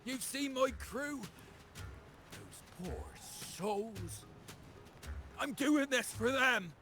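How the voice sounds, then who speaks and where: A man's voice: extremely high pitch, animated, drawn-out words, bright, forceful tone, faint music behind, heavily acted. A man voicing a cowardly, greedy pirate captain in a video game, theatrical and wheedling, stammering as he bargains. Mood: pleading, oily.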